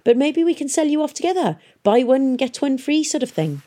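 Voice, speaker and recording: A woman's voice: high-pitched, sing-song, brisk, dark tone, voice pushed up. A woman voicing a cheerful, chatty male town boss who sounds regretful as he explains himself. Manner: genial, chatty, mock-regretful.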